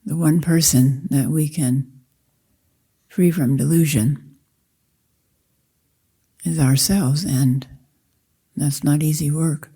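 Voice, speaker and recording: Very dark-voiced, slow woman meditation teacher. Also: low-pitched, fairly even intonation, slightly rough. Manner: measured, deliberate, short phrases with long pauses. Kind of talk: guided meditation, slow spoken instruction.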